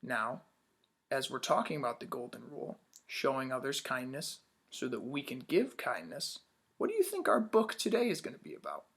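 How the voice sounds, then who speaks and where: A man's voice: mid-range voice, huge pitch swings, moderate pace, creaky, fairly bright tone, slightly rough. A man teaching young children in a read-aloud lesson, upbeat, asking questions and praising answers.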